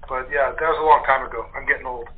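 A middle-aged American man chatting casually as a guest, halting as he searches through his memories. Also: mid-range voice, steady tone, husky. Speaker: cast member guest. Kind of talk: casual chat, reminiscing. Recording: tinny noisy phone line.

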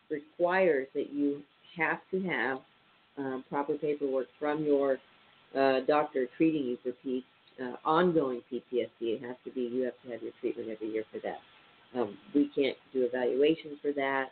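A man's voice: medium pitch, fairly even intonation, normal speed. A man with a stuffy, nasal voice hosting a casual radio show, reading statistics aloud with many ums and uhs. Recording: tinny phone-line sound with faint hiss.

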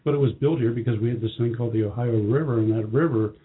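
A middle-aged or older man with a slightly husky voice, answering interview questions in a plain-spoken, affirming, conversational way. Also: medium pitch, quick pace. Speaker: company president, interview guest. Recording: muffled, band-limited lo-fi audio.

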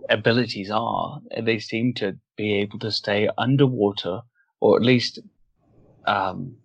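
Gravelly low-voiced man, muffled dry audio. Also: steady tone, unhurried, no echo. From Wales. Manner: thoughtful, searching for words. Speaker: guest author. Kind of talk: casual interview conversation.

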